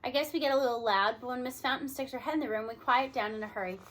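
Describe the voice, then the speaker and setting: Female story reader, higher-pitched and bright, expressive read-aloud delivery. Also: very fast. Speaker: audiobook reader.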